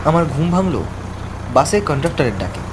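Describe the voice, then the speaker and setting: A man's voice: on the high side, big pitch swings, brisk, slight background noise. A man narrating a first-person horror story from a script. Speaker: narrator of an animated ghost story.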